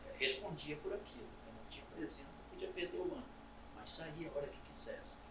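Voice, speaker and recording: A man's voice: fairly high pitch, animated, noisy background. Older man, roomy distant sound. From Brazil, Salvador. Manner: measured, reflective, with frequent pauses and "né?". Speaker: actor, interview guest. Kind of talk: reflective interview storytelling. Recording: roomy echo, distant mic, audible ambience.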